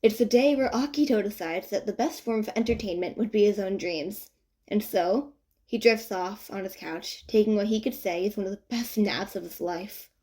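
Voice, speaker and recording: A woman reading fan fiction as an audiobook narrator, expressive and conversational with playful emphasis. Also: mid-range voice, moderate pace. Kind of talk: expressive scripted story narration.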